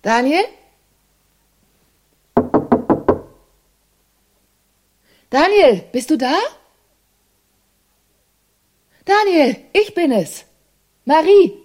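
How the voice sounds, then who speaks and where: A woman's voice: high-pitched, big pitch swings, unhurried, a little mellow. An actress playing a worried, insistent woman searching for her partner in an audio drama. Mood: anxious calling. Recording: echoey, roomy sound.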